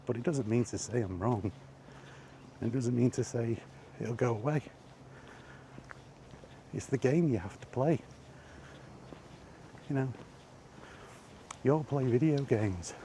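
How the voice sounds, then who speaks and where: A man's voice: medium pitch, takes it slowly, long pauses, dark tone, slight background noise. A man and gaming vlogger giving a blunt, emphatic opinion rant while walking outdoors by allotments.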